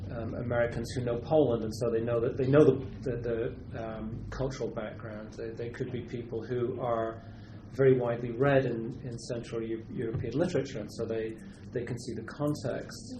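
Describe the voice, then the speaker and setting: A man from Britain, a literary translator, answering questions at a university seminar in a thoughtful, halting way with many restarts. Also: medium pitch, fairly even intonation, roomy sound, noisy background.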